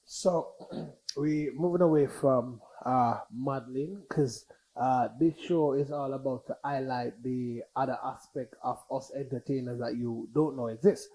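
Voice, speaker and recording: A man's voice: mid-range voice, a little slow, dark tone, poor audio. A man hosting a casual interview, joking around and slipping into patois. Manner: playful, joking, mixes in patois. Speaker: interview host.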